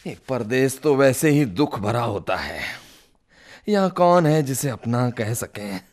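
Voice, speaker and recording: A man's voice: medium pitch, lively intonation, takes it slowly, a little mellow. An actor playing an irritable, ailing man with a fever and cough, groaning and complaining in a radio play. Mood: suffering, self-pitying. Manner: exasperated, complaining, groaning, melodramatic. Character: irritable bedridden sick man scolding his servant. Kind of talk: Hindi radio play acting.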